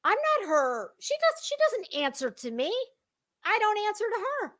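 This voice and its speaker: A woman with a high-pitched voice delivers a casual, dramatic monologue in the manner of an online commentator. Her phrasing is halting and emphatic, with deliberate pauses and words repeated as she goes. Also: wildly swooping pitch, fairly bright tone.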